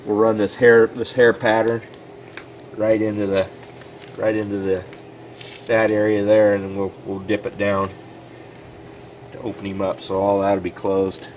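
Plainspoken man with a lowish voice, outdoors. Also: flat delivery, measured pace, long pauses, a little mellow, slight background noise, rough recording. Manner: plainspoken, filler-heavy, thinking aloud. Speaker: taxidermist. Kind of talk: casual on-camera walkthrough. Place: outdoors on concrete by a building.

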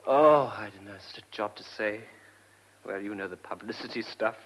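A man's voice: on the low side, moderate pace, fairly bright tone, poor audio. An actor playing an edgy, weary fugitive husband and former soldier in a radio drama, earnest and hesitant, repeating his words. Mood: weary, confessing.

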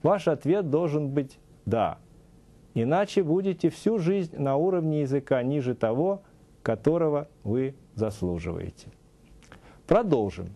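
A middle-aged man teaching a scripted TV language lesson, saying English example phrases slowly and deliberately, in a studio. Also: a little high, animated, mellow. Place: TV studio with a news-desk set.

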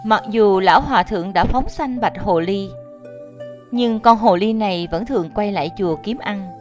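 Female narrator, mid-pitched, scripted fable storytelling, music bed underneath. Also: moderate pace. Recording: muffled audio under music.

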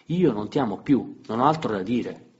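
A man voicing a cold, impatient young woman who curtly rejects her husband, raised above his own low, husky voice. Her lines are short and dismissive. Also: brisk, darkish tone, lightly acted. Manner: curt and dismissive. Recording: slightly dull lo-fi recording.

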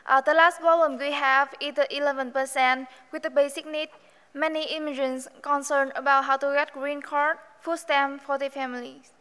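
A teenage girl from Vietnam reads her part carefully, with a few hesitant "uh"s. Her voice is high and bright, and the reverb of a big auditorium hangs on it. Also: level tone, moderate pace. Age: teens. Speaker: student presenter. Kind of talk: reading a prepared presentation. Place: large theater stage.

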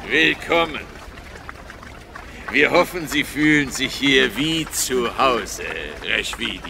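Ceremonious alien elder for radio drama, gravelly and formal, measured slow delivery. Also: male voice, medium pitch, background noise. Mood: solemn welcome.

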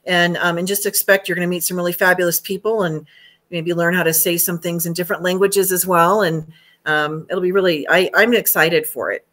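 A woman's voice: on the low side, fairly even intonation, a little fast, fairly bright tone. A middle-aged American woman hosting a video call, chatting casually in a rambling way. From United States. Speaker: host, home staging trainer. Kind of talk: casual chat. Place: indoor room at home.

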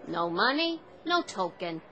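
A woman's voice: mid-range voice, very animated, measured pace, dull audio, over music. A woman in a radio drama playing a brusque, impatient subway token seller.

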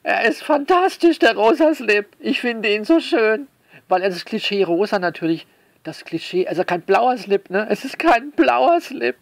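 A man delivering a sarcastic spoken essay to listeners, theatrical and mock-indignant, leaning on words with drawn-out emphasis. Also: high voice, animated, vocal fry, no echo. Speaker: author commenting on their own novel.

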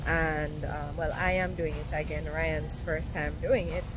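A woman's voice: sits low, steady tone, measured pace, background noise. A young woman with a Jamaican accent, chatting casually to a phone camera as she runs errands in her car and a warehouse store. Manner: chatty, rambling, explaining as she goes. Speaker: vlogger. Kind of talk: casual vlog narration. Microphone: handheld phone camera at arm's length.

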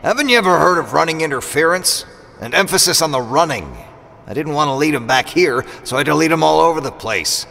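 A man's voice: on the high side, expressive, brisk, creaky, bright, forceful tone, big-room sound, full character voice. An actor playing a roguish, sarcastic assassin mercenary who teases his way through an audio drama. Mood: defensive. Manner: sarcastic, teasing. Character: sardonic, roguish male assassin mercenary, mock-wounded and teasing.

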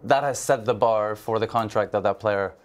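A young man with a fairly low, smooth, resonant voice talks things through as a guest on a football TV panel. He explains earnestly, pausing on fillers and restarting, and sounds close on a lapel mic in a large studio. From Israel.

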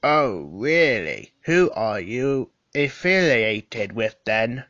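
Man as a probing, wry guest; slow and bright, lo-fi dry audio. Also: mid-range voice, big pitch swings, no room sound, exaggerated voice.

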